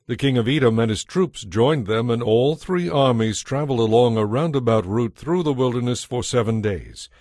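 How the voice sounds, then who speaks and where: A man narrating a dramatized audio Bible in a measured storytelling manner. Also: mid-range voice, darkish tone, no room sound, clean studio sound.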